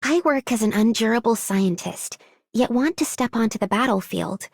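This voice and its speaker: A woman voicing a diligent young female scientist in a video game, speaking earnestly and gently. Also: on the high side, expressive, moderate pace, creaky, no room sound, studio quality. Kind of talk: video game character voice line.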